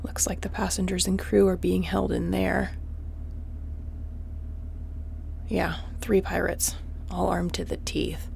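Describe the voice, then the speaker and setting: A woman acts out a wanted female starship mercenary in an audio roleplay, with a very creaky, gravel-edged voice. She is terse, wry and commanding, yet protective. A faint ship noise sits behind her. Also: on the low side, level tone, moderate pace, darkish tone. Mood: hushed, tactical. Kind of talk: intimate sci-fi audio roleplay acting.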